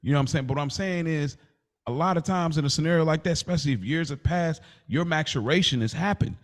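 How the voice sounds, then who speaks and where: A man's voice: on the high side, fast. A man chatting casually as a podcast co-host, telling stories in loose slang and acting out what people said.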